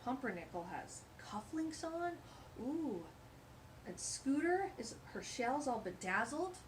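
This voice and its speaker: A woman's voice: sits high, takes it slowly, slight background noise, low-quality recording. A woman hosting a children's storytime, reading aloud expressively with exclamations and chatty asides. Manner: animated, exclamatory.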